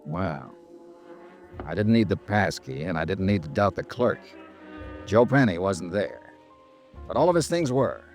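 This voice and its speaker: An American man playing a tough, wry insurance investigator who narrates in a clipped, dry, hardboiled manner, in 1950s radio drama style. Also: medium pitch. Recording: old radio recording with music behind.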